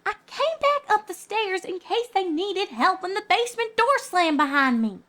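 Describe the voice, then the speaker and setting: Feisty kid pony from a fanfic, very high, animated. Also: female voice, normal speed, full character voice. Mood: exasperated. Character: feisty young filly pony, Apple Bloom.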